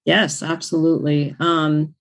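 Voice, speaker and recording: Low-voiced woman, relaxed interview guest. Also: unhurried. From Iran. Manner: warm, reflective, many fillers and restarts. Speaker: interview guest, speech-language pathologist. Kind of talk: casual conversational interview.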